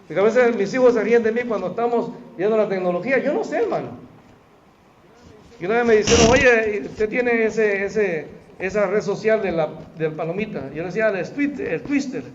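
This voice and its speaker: A man's voice: high voice, brisk, muffled recording, a little hiss or hum. A middle-aged man from El Salvador with a Salvadoran Spanish accent, preaching a sermon and talking to the congregation in a conversational way, often calling out to the brethren. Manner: conversational, addresses the congregation as "hermano". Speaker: pastor. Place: church hall.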